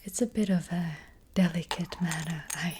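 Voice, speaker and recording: A woman playing a gentle, hesitant witch fortune teller in an ASMR roleplay, speaking in a breathy, hushed whisper. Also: fairly low pitch, steady tone, lightly acted. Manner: slow, hushed, hesitant, unhurried. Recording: close-up with faint background noise.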